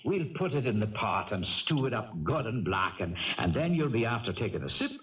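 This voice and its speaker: Lilting Irish stable hand, wildly animated. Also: male voice, on the low side, a little fast. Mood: genial, wily. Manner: folksy, garrulous, hesitant, lilting turns of phrase. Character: poor, genial, blarneying Irish stable hand, doting horse owner. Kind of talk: 1970s radio drama acting. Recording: dull, noisy old radio recording, distant.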